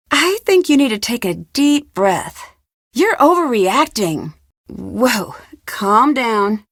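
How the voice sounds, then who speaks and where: Curious young female detective, higher voice. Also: no room sound, studio quality, full character voice. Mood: calming, concerned. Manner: inquisitive, articulate, polite. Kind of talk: video game dialogue.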